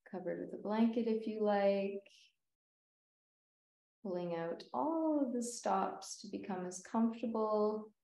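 Female meditation guide: very slow, soothing delivery with long pauses, slightly low pitch, roomy echoing sound. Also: gravelly, dark tone. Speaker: yoga nidra meditation teacher.

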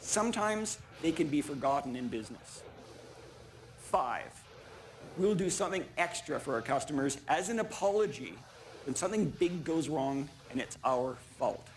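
A middle-aged man delivering a rehearsed keynote speech as a chief executive, speaking in measured, deliberate, emphatic short statements. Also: a little high, expressive, slight background noise. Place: large conference stage before an audience. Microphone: small clip-on lapel mic.

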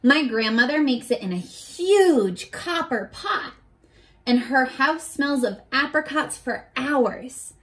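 High, lively young woman reading to children. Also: slow. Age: 20s. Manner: expressive, clearly addressing young children. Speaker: teacher reading a picture book aloud. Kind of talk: children's read-aloud with simple questions to listeners. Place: small furnished bedroom, indoors.

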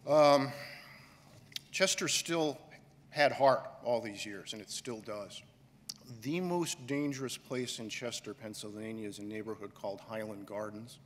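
A middle-aged man delivers a keynote from a podium microphone, measured and emphatic, leaning on pauses. His unhurried delivery rings out through a large hall with a long reverb tail. Faint room ambience sits underneath. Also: fairly low pitch, slight background noise. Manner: slow, deliberate and emphatic, with pauses and gestures. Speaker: conference director giving a keynote. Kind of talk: keynote speech. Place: large auditorium stage, indoors.

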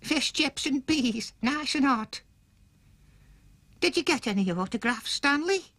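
A man's voice: extremely high pitch, animated, unhurried, pushed and bright, voice pushed up, exaggerated voice. A man putting on a fussing granny. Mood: fond. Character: kindly old grandmother, warm and fussing. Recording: audible background noise.

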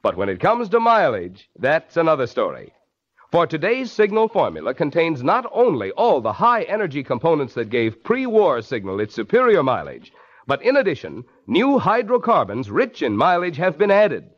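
A man's voice: fairly high pitch, wildly swooping pitch, moderate pace. An American man delivering a 1940s radio commercial as announcer, emphatic, persuasive and polished. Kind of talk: 1940s radio advertising pitch. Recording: dull, lo-fi old radio recording.

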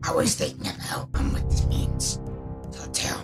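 A performer playing a power-hungry but slacker-like game villain with a breathy, croaky voice, mumbling offhandedly in a comedy dub. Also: moderate pace, natural voice. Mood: baffled. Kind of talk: improvised comedy fan dub of a video game. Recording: loud music behind the voice.

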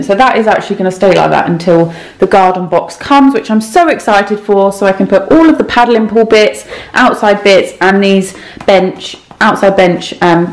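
A woman in her thirties chatting casually while she tidies her home, with a light, self-mocking way of talking. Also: mid-range voice. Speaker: cleaning vlogger. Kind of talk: casual clean-with-me vlog chat. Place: home kitchen and rooms, indoors. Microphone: camera mic, handheld and set on the counter. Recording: echoey kitchen room.

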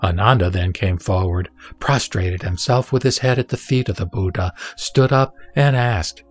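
A man gives a scripted, dramatic reading of scripture in a measured, solemn, instructive manner. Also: deep voice. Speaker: narrator and reader of scripture. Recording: background music behind the voice.

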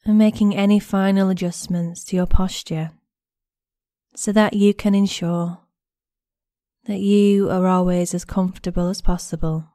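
Slow, mellow, soothing woman meditation guide. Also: medium pitch, fairly even intonation, no room sound, clean studio sound. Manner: gentle, soothing, unhurried with long pauses. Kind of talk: guided body scan meditation narration.

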